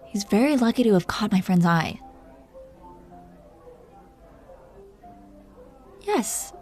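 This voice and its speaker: A woman voices a possessive dragon queen with a mellow, relaxed voice at a middling female pitch. She talks closely and softly to her captive in an intimate roleplay, teasing and coaxing with tender, protective confidence. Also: moderate pace, faint music behind, lightly acted. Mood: amused, smug. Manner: teasing, coaxing, soft and intimate, dropping into whispers. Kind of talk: intimate roleplay audio drama addressed to the listener.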